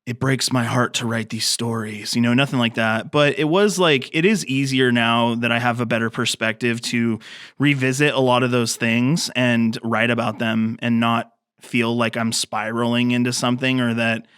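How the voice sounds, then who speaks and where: Man, 30s, smooth clear voice, dry close sound, rambling casual talk, lots of fillers. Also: mid-range voice, fairly even intonation, normal speed, fairly bright tone, no room sound. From grew up in the mountains. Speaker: filmmaker guest. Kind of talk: casual podcast interview.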